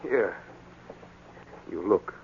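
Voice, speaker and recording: A man playing a guarded young chief's son who speaks in terse, halting broken English in a 1940s radio drama. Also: sits low, a little hiss or hum, exaggerated voice. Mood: grave. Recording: tinny, band-limited 1940s radio recording.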